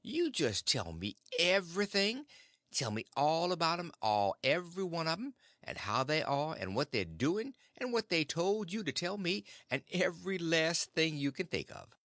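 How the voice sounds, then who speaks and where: A man voicing an excitable, fretful farm wife in an audiobook, gushing and breathless. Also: a little high, normal speed, dry recording, studio quality, pitched above the performer's own voice. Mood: eager. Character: excitable fussing middle-aged farm wife. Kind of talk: audiobook character voice.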